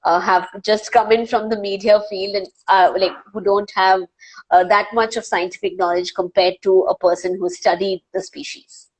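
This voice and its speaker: A woman's voice: medium pitch, fairly even intonation, moderate pace, rough recording. A young woman from India with an Indian accent, a wildlife filmmaker answering questions in a live online interview, earnest and full of "uh" and restarts. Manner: earnest, many "uh" fillers and restarts. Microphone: video call.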